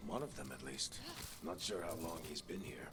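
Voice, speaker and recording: An actor playing a weary, wry middle-aged adventurer and guide in a video game. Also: male voice, very deep voice, lively intonation, moderate pace, heavy background noise. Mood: grave. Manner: wry, knowing. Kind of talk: dramatic video game acting. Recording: loud game music behind.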